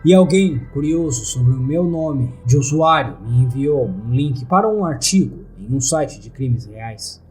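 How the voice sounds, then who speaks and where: A man with a Brazilian accent narrating a first-person horror story in a grave, measured way. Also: medium pitch, lively intonation, a little mellow, poor audio. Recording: faint music bed behind narration.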